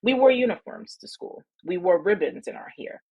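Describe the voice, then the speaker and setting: Husky-voiced woman guest. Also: lowish voice, expressive, moderate pace, muffled recording. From Trinidad. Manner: reflective storytelling with laughs. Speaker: attorney guest. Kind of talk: podcast interview.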